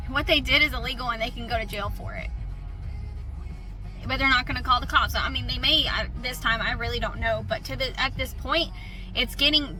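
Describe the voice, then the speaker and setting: A woman's voice: sits high, sharp tone. A woman giving casual, rambling commentary on a novel she is reading, candid and full of restarts. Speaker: book vlogger.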